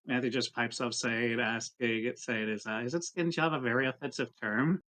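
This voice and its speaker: A man playing a tabletop roleplaying game, casually narrating his characters' actions in halting, piecemeal phrases. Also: mid-range voice, steady tone, bright, forceful tone.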